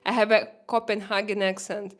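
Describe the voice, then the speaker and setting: A woman from Russia with a Russian accent, hosting a casual livestream chat, talking haltingly with many fillers and frequent laughter. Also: mid-range voice, little pitch movement. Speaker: livestream host.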